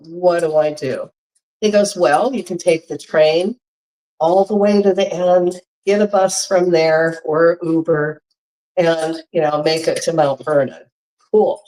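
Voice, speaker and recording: A woman's voice: on the low side, low-quality recording. Congested woman telling a travel story. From Hawaii. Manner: chatty, animated storytelling with frequent laughs. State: congested from a cold. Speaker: vlogger. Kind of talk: casual storytelling to camera.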